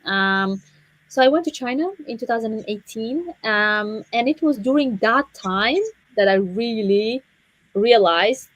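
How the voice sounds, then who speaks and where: A woman, telling her personal story as a guest speaker in a halting way, often repeating words. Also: fairly high pitch, animated, no room sound, poor audio. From Afghanistan and Central Asia. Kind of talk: personal story in a panel interview.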